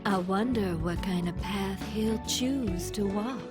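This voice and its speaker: A woman's voice: medium pitch, slow, close to an everyday voice. A woman playing a teasing, giggly show hostess. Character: playful teasing female TV-show hostess narrator. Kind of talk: game cutscene narration. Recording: with background music.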